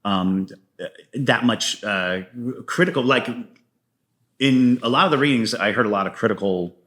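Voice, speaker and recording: A man's voice: fairly low pitch, moderate pace, fairly bright tone. A man talking casually and at length as an interview guest, a wordy storyteller who explains his creative work. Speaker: guest playwright and podcaster.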